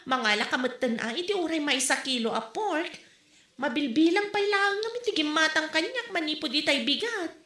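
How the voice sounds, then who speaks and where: Woman acting a high, bright, pushy market vendor. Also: animated, normal speed. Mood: pleading. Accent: Ilocano, northern Philippines. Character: pushy, exasperated female market vendor in debt. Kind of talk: comedy audio drama acting. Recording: echoey room.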